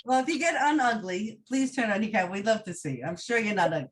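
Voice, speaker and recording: A woman's voice: mid-range voice, lively intonation, fast, a little hiss or hum. A woman moderating a casual group video call, friendly and encouraging toward each speaker.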